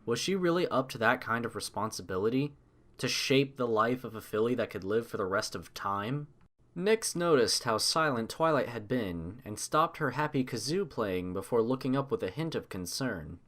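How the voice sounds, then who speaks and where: Man, audiobook narrator, very varied intonation, medium pitch, dramatic, measured storytelling. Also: expressive, a bit bright.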